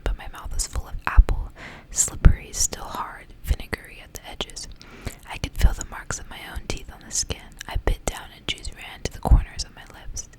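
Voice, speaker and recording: A woman reading a story aloud in an ASMR style, whispering softly and without hurry. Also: low-quality recording. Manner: whispering, soft and unhurried storytelling. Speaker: ASMR reader.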